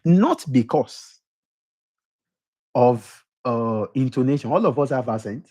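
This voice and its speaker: A man's voice: mid-range voice, animated, slow pace, warm tone. A middle-aged man with a Nigerian accent giving an emphatic motivational lecture, repeating his points and putting questions to the audience. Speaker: motivational speaker.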